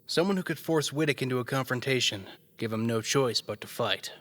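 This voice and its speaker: A man's voice: mid-range voice, brisk, fairly bright tone, lightly acted. A man playing a serious, analytical member of an adventuring gang in a fantasy audio drama with long reverb.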